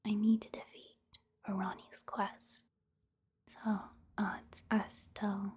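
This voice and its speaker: A woman doing soft-spoken ASMR game commentary in a hushed, breathy whisper, gentle and unhurried. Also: mid-range voice.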